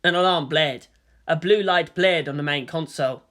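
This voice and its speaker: A woman's voice: low voice, moderate pace, a bit bright. A woman reading fan fiction aloud as a dramatic, scripted story narration.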